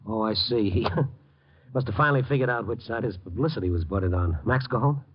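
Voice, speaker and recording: An actor playing a wry, hard-boiled but caring newspaper reporter, narrating and acting in a clipped, colloquial radio noir style. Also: male voice, lowish voice, fairly quick, slightly rough. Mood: concerned, urgent. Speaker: narrator and lead. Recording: muffled old radio recording with music.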